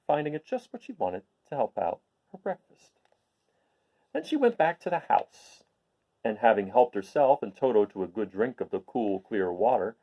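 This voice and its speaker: A man reading a storybook aloud as a host, in an expressive, explanatory manner. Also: fairly low pitch, dull audio. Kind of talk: expressive storybook read-aloud with informal introduction.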